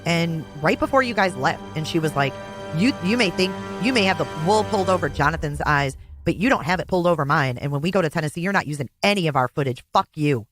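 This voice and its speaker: A woman's voice: low-pitched, animated, quick pace, music in the background. A woman hosting a casual panel chat, speaking in a blunt, informal way. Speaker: panel show host.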